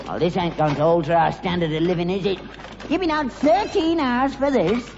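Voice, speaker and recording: A man's voice: high voice, moderate pace, darkish tone. Crotchety old Cockney father, echoey. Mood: scolding. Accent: London Cockney. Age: older. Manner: querulous, nagging, stammering repetitions. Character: crotchety, stingy old father, scheming junk dealer. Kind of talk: vintage British sitcom acting. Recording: muffled, hissy old sitcom recording, echoey hall.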